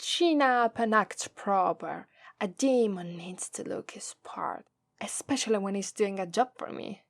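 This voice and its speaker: Woman acting a slow, haughty Italian-accented demoness. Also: medium pitch, vocal fry, dry recording. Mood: commanding, haughty. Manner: condescending, drawn-out, taunting delivery. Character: haughty, teasing, menacing female demon master. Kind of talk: ASMR roleplay acting.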